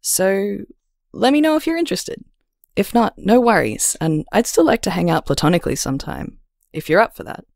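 A woman playing a nervous, friendly person in an audio drama, halting and tentative as they ask someone out. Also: medium pitch, big pitch swings, vocal fry, darkish tone, dry recording, studio quality. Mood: shy, hopeful. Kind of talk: audio drama voicemail acting.

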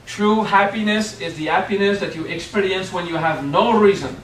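A man's voice: high-pitched, normal speed, slight background noise. A middle-aged man with a French accent, a spiritual teacher giving a playful workshop talk and often asking "okay?". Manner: playful, keeps checking in with "okay?". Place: hotel meeting room. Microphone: far camera. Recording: echoey room from far off.

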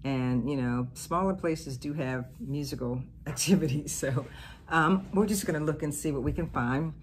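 A woman's voice: sits low, moderate pace, slight background noise. A woman in her fifties chatting casually to her phone camera for a vlog, laughing often and talking loosely with fillers. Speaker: vlogger. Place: parked car and hotel room. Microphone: phone held at arm's length.

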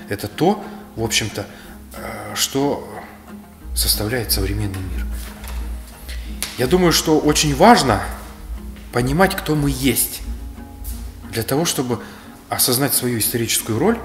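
Thirtysomething guy from kalmykia explaining things slowly, seated indoors, room echo. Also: on the low side. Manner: measured, lecturing, gesturing with his hands. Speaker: speaker on history. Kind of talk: reflective explanatory talk. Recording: roomy echo, faint music.

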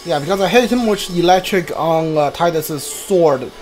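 A man's voice: on the high side, normal speed, darkish tone, soft background music, rough recording. A man doing live gameplay commentary as a streamer, thinking aloud as he plays. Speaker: game streamer.

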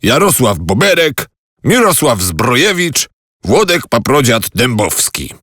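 A man's voice: mid-range voice, very animated, a little slow, fairly bright tone, no room sound, clean studio sound. A man narrating a scripted children's story as a storyteller.

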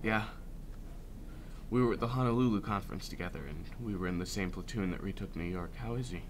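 A man as a low-voiced veteran interviewer. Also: fairly quick, natural voice. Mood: sober. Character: shaken male war veteran interviewing survivors. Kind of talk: radio drama acting. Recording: audible background noise.

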